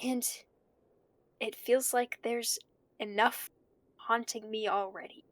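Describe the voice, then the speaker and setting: A woman's voice: fairly high pitch, fairly even intonation, slow pace, dry recording. A woman playing a panicky, conscience-stricken young student in an audio drama, stammering and rambling anxiously. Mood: haunted, uneasy. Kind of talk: audio drama monologue, naturalistic.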